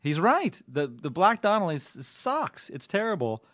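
A man hosting a casual, joking TV podcast, animated and loud, swinging between shouting and mumbling. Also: sits high, normal speed, no room sound, studio quality. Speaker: podcast host, TV critic. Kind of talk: casual comedic podcast banter.

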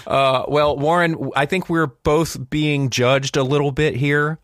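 A man's voice: a little high, steady tone, a little slow, fairly bright tone, no echo, clean studio sound. A man hosting a podcast in a conversational style. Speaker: podcast host.

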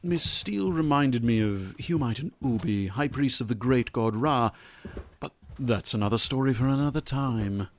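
An actor playing a courteous, well-travelled head butler of an English estate, formal and deferential, in a radio comedy-mystery. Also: male voice, mid-range voice, animated, moderate pace, slight background noise, natural voice.

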